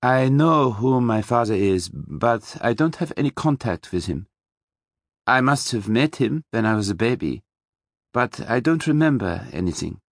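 A man voicing a composed, frank German woman in an audiobook, with a soft German accent. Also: medium pitch, expressive, unhurried, dry recording, studio quality, voice pushed up. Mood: frank, open. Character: tall, composed, brisk German woman around forty.

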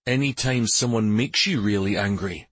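A man's voice: lowish voice, normal speed, a bit bright, breathy edge, dry recording. A man voicing a prince's loyal male companion in an audiobook, frank, earnest and concerned.

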